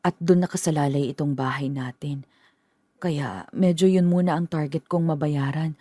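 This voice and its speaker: A woman's voice: low voice, dark tone, dry recording, studio quality. An actress playing a worried, hesitant middle-aged mother explaining family troubles to her son. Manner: hesitant, halting. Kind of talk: radio drama acting.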